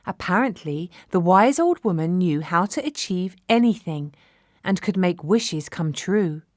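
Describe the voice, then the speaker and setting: A woman narrating a children's fairy tale from a script, measured and expressive. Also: on the low side, dry recording, studio quality. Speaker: storyteller.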